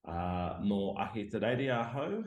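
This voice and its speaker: Australian-born academic man, deep voice, halting and reflective, roomy video-call sound. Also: animated, darkish tone. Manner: hesitant, many ums, self-deprecating. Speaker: guest lecturer and scientist. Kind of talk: reflective interview talk. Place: home room by a window.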